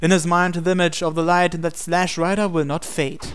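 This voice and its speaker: A man's voice: a little high, little pitch movement, normal speed, clean tone. A man hosting a gaming channel, reading visual novel narration aloud.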